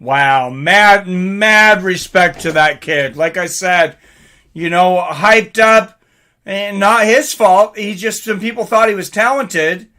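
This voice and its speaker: High-pitched, bright-voiced man calling fights live. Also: takes it slowly. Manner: excitable, informal, swearing, reacting aloud. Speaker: host of a live fight reaction stream. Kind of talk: live fight commentary mixed with casual chat to viewers.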